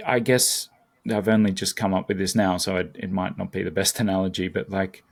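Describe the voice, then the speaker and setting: Man with a low, gravelly voice. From not American. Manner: thoughtful, searches for words aloud. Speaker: guest. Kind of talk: casual conversation.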